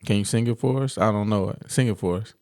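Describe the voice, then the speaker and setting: A man's voice: on the low side, fast talker, darkish tone. A man in his thirties co-hosting a podcast, teasing and laughing in a laid-back chat. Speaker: podcast co-host. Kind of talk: casual chat.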